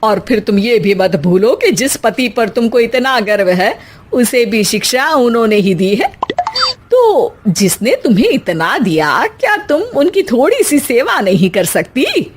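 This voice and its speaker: A woman playing a proud but soft-hearted elderly Indian mother-in-law in an acted moral story, plain-spoken and affectionate. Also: a little high, very animated, a little slow, a little hiss or hum. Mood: gently advising. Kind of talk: dramatized moral story voice acting.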